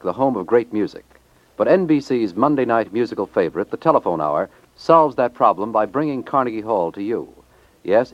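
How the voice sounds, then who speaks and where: Male 1950s radio announcer, scripted promo delivery, lowish pitch, dry muffled vintage recording. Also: no room sound.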